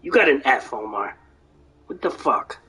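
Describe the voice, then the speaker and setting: A young man streaming a game, rambling and ranting, swearing now and then, his voice going hoarse. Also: medium pitch, expressive, a little slow, pushed and bright. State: voice getting hoarse. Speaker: gaming streamer. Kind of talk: rambling live-stream chat.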